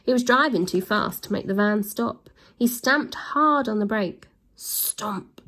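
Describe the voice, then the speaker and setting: A woman reads a children's story aloud at an unhurried pace. Her delivery is lively, with playful voices and sound effects for the action. Her voice sits in a middle pitch. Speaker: storybook reader. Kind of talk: reading a children's book aloud.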